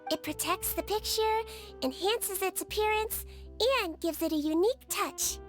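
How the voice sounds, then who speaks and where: A woman voicing a small, sweet young female melusine nurse in a video game, with a childlike voice and a gentle, earnest, reassuring manner. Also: very high voice, normal speed. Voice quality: childlike, girlish. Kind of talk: video game character voice lines. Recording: studio voice over game music.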